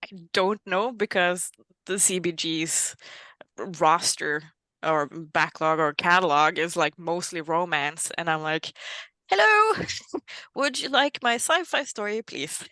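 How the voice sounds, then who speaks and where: A woman's voice: fairly low pitch, expressive, slow pace, pushed and bright, dry recording. A woman from Norway with a Norwegian accent, a guest author chatting casually, with many fillers and self-mocking laughs. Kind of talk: casual interview chat.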